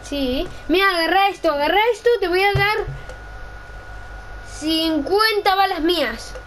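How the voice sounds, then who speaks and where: A woman's voice: very high voice, a little slow, a bit bright, rough recording. A woman chatting casually while gaming, insistent and often repeating her requests. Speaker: gamer. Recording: faint background noise.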